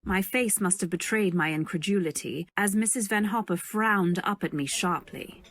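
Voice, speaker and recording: A woman playing a timid, wistful young woman who narrates an old-time radio drama in a measured, dreamy, deferential way. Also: medium pitch, a bit bright, close to an everyday voice. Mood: meek, reflective.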